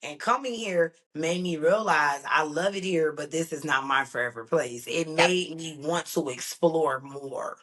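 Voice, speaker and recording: Podcast host, mid pitch, affirming and reflective, conversational. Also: measured pace, few pauses, fairly bright tone. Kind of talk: casual interview talk.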